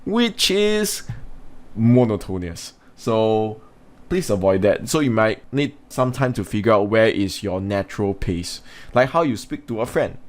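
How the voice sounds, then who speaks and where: A man speaks as an English teacher, his voice sitting on the low side. He explains and instructs in a spoken lesson, commenting on exam work point by point. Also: big pitch swings, measured pace.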